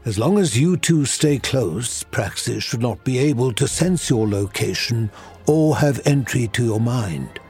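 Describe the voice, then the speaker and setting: An actor playing a grave sorcerer mentor in a fantasy audio drama, explaining things earnestly and urgently. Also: male voice, mid-range voice, lively intonation, measured pace, a little mellow, soft background music.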